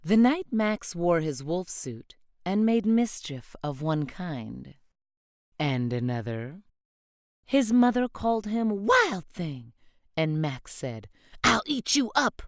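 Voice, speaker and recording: A woman reads a picture book aloud in a slow, unhurried rhythm, gentle and expressive. Her voice sits a touch low, and the sound is close and dry with no room at all. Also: no echo. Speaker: storybook reader. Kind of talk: read-aloud children's storybook narration.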